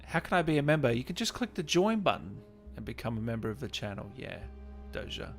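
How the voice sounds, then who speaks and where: A man's voice: mid-range voice, big pitch swings. Effusive male livestream host over music. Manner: effusive and hype, with drawn-out shout-outs and frequent thanks. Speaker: livestream host and channel owner. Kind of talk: casual livestream chat, reading out viewer messages. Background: music playing behind the voice.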